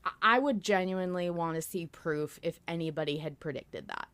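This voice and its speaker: Woman, lower register, casual podcast chat, dry studio-like sound. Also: fairly quick, fairly bright tone, smooth, no echo. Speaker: podcast co-host.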